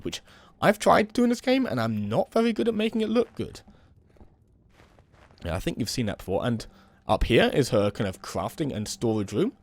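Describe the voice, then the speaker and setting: A young man with a slightly hoarse voice from a cold, giving relaxed, rambling commentary while playing a building game. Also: mid-range voice. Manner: relaxed, rambling, many "um" and "kind of". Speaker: let's play host. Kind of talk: casual gameplay commentary.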